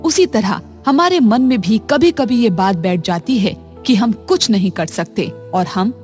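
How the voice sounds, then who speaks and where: A woman narrating short moral stories for children in a calm, even, scripted storytelling manner. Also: medium pitch, unhurried, soft background music.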